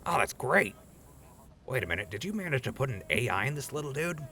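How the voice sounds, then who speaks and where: A man's voice: mid-range voice, sing-song, brisk, bright, forceful tone, slight background noise. A man playing an easygoing, teasing mentor and buddy in an audio drama, casual and joking. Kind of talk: post-apocalyptic audio drama acting.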